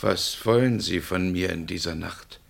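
An actor playing a frightened, indignant man woken at night who protests his innocence with a halting, trembling delivery, in 1960s German radio drama style. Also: low voice, gravelly, slightly rough, heavily acted. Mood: fearful, suspicious.

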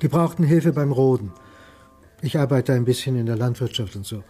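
Mellow-voiced, guarded farmhand played by a man. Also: medium pitch, fairly quick, slightly rough, faint music behind, natural voice. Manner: guarded, curt, reluctant. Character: reluctant, wary rural farmhand giving a tip. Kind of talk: acted dialogue in a crime radio play.

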